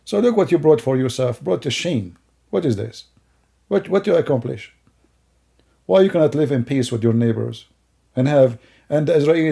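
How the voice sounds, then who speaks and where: Gravelly, mocking middle-aged man. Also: medium pitch, dark tone. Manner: mocking, rambling, with broken grammar. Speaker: commentator. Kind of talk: sarcastic commentary over video clips.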